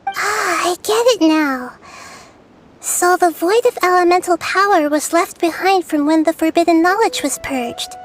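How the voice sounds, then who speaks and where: A woman voicing a small, girlish goddess of wisdom in a video game, soft, gentle and reassuring. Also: very high-pitched, unhurried. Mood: thoughtful. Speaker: Lord of Dendro. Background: game music.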